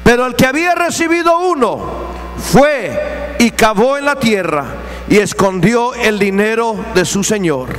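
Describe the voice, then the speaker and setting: A man interpreting a sermon live in a church, matching the preacher's rising fervor in quick bursts. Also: very high-pitched, lively intonation, fairly bright tone, faint music behind.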